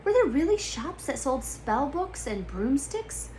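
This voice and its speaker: A woman's voice: sits high, very animated, measured pace, warm tone, a little hiss or hum, poor audio. A woman reading a storybook aloud expressively, as a storyteller to listeners.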